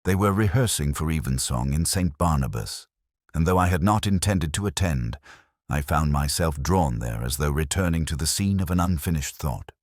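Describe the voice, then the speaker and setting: A man performs a melancholy male detective looking back on a case in scripted first-person narration. His voice is extremely deep, yet it moves expressively up and down. The delivery is measured, solemn and contemplative, in a dry, close sound without room echo. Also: animated, a little mellow. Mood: wistful, solemn. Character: weary, melancholy male English detective narrator, reflective and literary.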